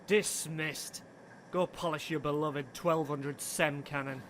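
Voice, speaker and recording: A man's voice: on the high side, slow pace, background noise. A man playing a cold, sardonic commander in his late twenties, curt and direct, in an audio drama. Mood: scornful. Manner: calm, direct, curt, disdainful. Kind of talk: radio-play acting.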